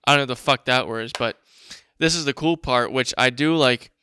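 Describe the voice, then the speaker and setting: Crisp, bright-voiced man talking bluntly. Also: mid-range voice, brisk, no echo, clean studio sound. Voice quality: raspy. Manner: blunt, profane, informal. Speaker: show host. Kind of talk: casual commentary, reading documents aloud.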